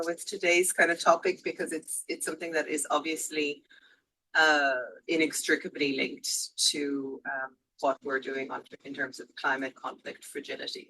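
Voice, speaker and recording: A woman introducing herself at a policy workshop, halting with many fillers. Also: sits low, sharp tone, slight background noise, poor audio. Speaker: panelist. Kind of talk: conference self-introduction.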